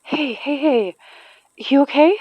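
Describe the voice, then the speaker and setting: Stubborn woman exorcist, fairly high voice. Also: slow pace, no room sound, muffled recording. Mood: pained. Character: determined, guarded woman exorcist, stubborn and protective. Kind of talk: horror audio drama acting.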